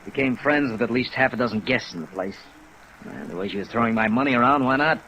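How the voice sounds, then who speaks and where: A man's voice: mid-range voice, lively intonation, quick pace, bright, forceful tone, muffled recording. A man acting as a chagrined, middle-aged veteran hotel operator in a 1950s radio drama, hesitant and self-mocking. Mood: rueful indignation. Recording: vintage radio recording under rain noise.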